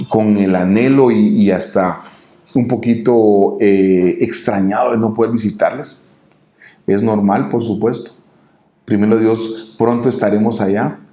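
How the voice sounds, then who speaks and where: A man with a Guatemalan Spanish accent giving a devotional sermon to listeners in a halting way, often repeating his words. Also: on the low side, dark tone. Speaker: preacher. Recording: roomy, echoing room sound.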